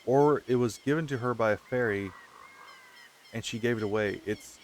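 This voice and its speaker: A man's voice: mid-range voice, normal speed, a little hiss or hum. A man casually chatting as he plays through a video game, rambling with lots of fillers and talking straight to his viewers. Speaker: gaming video host. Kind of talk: casual let's-play commentary. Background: game music.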